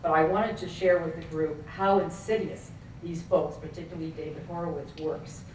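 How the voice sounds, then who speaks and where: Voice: mid-range voice, flat delivery, moderate pace, a little hiss or hum. A professor in the audience making a point to a panel, earnest and rambling with many asides. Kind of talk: conference audience question. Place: conference hall. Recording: very echoey hall from far off.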